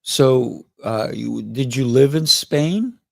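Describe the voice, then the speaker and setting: A man's voice: mid-range voice, slow pace, gravelly, no echo, clean studio sound. A man hosting a podcast and interviewing a guest, encouraging him and murmuring "mm" as he listens. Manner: encouraging, frequent "mm" backchannels. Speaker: podcast host.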